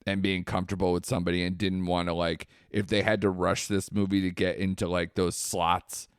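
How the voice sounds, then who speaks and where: A man's voice: sits low, level tone, fairly quick, clear, resonant tone, no echo, studio quality. A man co-hosting a podcast, talking loosely in casual chat, swearing now and then and trailing off. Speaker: podcast co-host.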